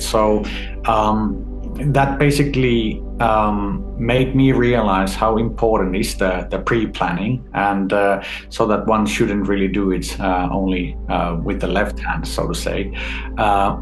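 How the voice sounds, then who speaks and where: A middle-aged man from Finland, an executive guest, answering in a measured way with frequent fillers. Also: on the low side. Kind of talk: interview answers. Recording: echoey room, faint music.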